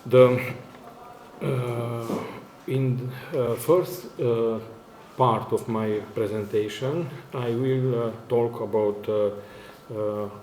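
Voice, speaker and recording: Halting Romanian-accented male lecturer. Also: mid-range voice, steady tone, darkish tone. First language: not English, which is a fourth working language. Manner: very halting, hesitant, searching for words. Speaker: academic conference speaker, university lecturer. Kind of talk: formal conference presentation in non-native English. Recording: echoey room, faint background noise.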